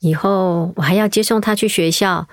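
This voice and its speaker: An actress playing a caring young mother in a radio drama, with a gentle, reassuring way of talking. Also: medium pitch, steady tone, moderate pace, dry recording, clean studio sound, close to an everyday voice.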